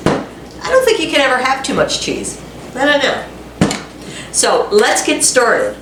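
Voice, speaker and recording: A woman's voice: medium pitch, background noise. Stuffy-voiced woman chatting through a recipe. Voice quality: congested and nasal. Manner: chatty, self-deprecating asides to viewers. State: stuffy voice from a head cold. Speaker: cooking channel host. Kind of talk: casual home cooking demonstration. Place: home kitchen. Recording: echoey kitchen, mic at a distance. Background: frying sizzle and a dishwasher running.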